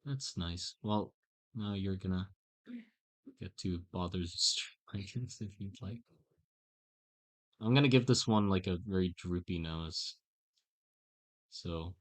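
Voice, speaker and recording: A man chatting casually on a live stream while he draws, in a halting, self-mocking way. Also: deep voice.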